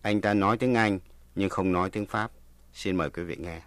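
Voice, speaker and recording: Low-voiced Vietnamese man presenting a lesson. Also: fairly quick, dry recording. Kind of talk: scripted language lesson narration.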